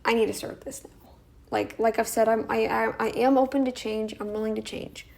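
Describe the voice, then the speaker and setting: A young woman vlogging casually to camera, her voice croaky as she talks in halting, rambling sentences full of restarts. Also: on the high side, fairly even intonation, a little mellow. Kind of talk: casual talking-head vlog.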